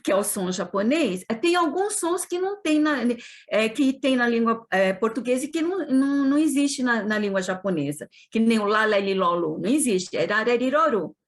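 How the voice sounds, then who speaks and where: A woman's voice: on the high side, animated, brisk. A woman from Brazil who teaches Portuguese to children, explaining eagerly with many restarts in a casual panel talk. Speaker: heritage Portuguese teacher in Japan.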